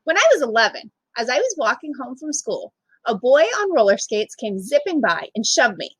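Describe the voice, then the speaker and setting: A woman reading a children's picture book aloud as a storyteller, with emphatic, expressive delivery for young listeners. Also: fairly high pitch, normal speed, husky, no room sound, rough recording. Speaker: storytime reader, literacy program manager.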